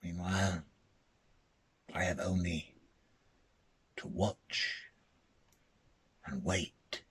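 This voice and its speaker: An actor performs a first-person tale at a slow, deliberate pace. His voice is husky and breathy. He halts and repeats words as he goes, in a dramatic storytelling style. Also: deep voice, a bit bright. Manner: hesitant, halting, repeating words.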